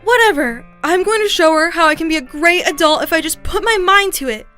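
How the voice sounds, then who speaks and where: Lady doing ditzy sulky anime grown woman, super high n bright, whiny, so dramatic. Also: normal speed, soft background music. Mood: defiant. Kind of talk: exaggerated anime-style manga dub acting.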